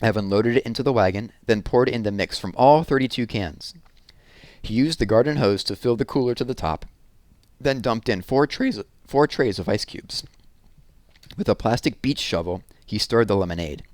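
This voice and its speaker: A man reading a children's book aloud as an expressive narrator. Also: medium pitch, normal speed, dry recording.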